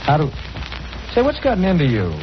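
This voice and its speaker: An actor playing a sceptical, dismissive brother-in-law in a 1940s radio drama. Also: male voice, a little high, huge pitch swings, fairly quick, noisy background. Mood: incredulous. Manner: incredulous, dismissive. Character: sceptical, brisk brother-in-law who scoffs at danger. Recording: muffled old radio recording.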